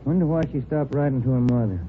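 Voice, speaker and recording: An actor playing a calm, plain-spoken detective sidekick in 1950s radio crime drama, understated and businesslike. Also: male voice, mid-range voice, fast talker, close to an everyday voice. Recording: tinny, noisy old radio recording.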